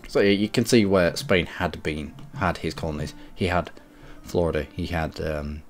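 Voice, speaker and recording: A man with a head cold streaming a strategy game, rambling and correcting himself, often clearing his throat. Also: low voice, fairly even intonation, normal speed. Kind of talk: casual game commentary.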